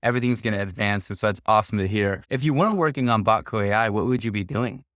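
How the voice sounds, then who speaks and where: A man with a lowish voice hosts a casual interview and speaks close to the mic in a dry room. He keeps encouraging his guest and often says yes along the way. He asks questions in a relaxed way. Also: fast, no room sound. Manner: encouraging, frequent affirming interjections. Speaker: podcast host.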